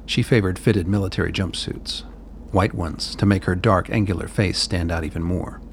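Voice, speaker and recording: A man's voice: low voice, steady tone, normal speed, a little hiss or hum. A man narrating a scripted radio play as its storyteller.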